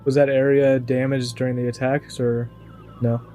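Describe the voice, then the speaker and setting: Man playing reserved soldier, tabletop game. Very monotone, dark mellow voice, terse and dutiful, music behind. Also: mid-range voice, normal speed, natural voice. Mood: guarded, concerned. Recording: muffled band-limited audio under music.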